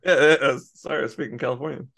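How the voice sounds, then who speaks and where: An American man with a gravelly voice. He talks in a loose, rambling way, restarts his sentences and laughs often, like a podcast co-host chatting with a friend. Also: medium pitch, steady tone, racing, a bit bright. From United States. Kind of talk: casual chat.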